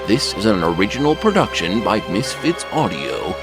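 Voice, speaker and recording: A man narrating an audio drama series, reading scripted credits in a deliberate, dramatic announcer style. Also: on the low side, sing-song, over loud music.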